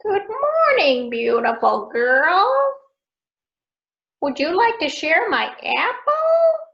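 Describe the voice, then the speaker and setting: A woman's voice: very high-pitched, huge pitch swings, slow pace, gravelly, pitched above the performer's own voice, exaggerated voice. A woman voicing a wicked queen disguised as an old peddler woman, sly and wheedling. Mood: sweet-talking. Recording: dull lo-fi recording.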